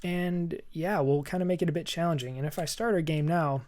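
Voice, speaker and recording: A man with a hoarse, scratchy voice, casually walking through a programming tutorial as he works and thinks aloud. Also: a little high, fairly even intonation, brisk, darkish tone. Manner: chatty and upbeat, thinking aloud while typing. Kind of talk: screen-recorded coding walkthrough. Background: keyboard typing.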